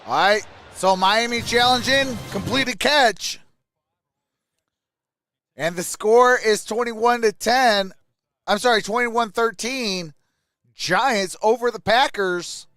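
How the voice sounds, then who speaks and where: High-pitched man calling a game casually. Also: takes it slowly, long pauses, bright, forceful tone, no room sound, clean studio sound. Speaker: sports livestream host. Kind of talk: casual play-by-play reactions over a game broadcast.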